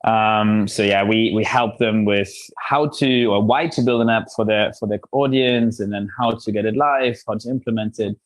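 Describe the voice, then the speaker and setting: A man's voice: fairly low pitch, steady tone, normal speed, few pauses, dry recording. A man who is a podcast guest, casually explaining his work in an unscripted introduction.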